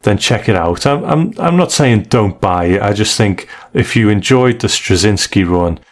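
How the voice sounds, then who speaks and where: A man with a fairly low voice talks as a podcast host. His delivery is conversational and measured, politely softening any criticism as he reviews. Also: animated.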